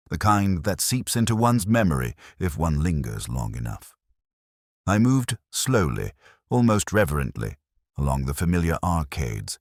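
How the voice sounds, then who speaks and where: A man reading scripted first-person detective fiction in a measured, contemplative, literary manner, as a narrator. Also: low-pitched, a little mellow, no room sound, studio quality.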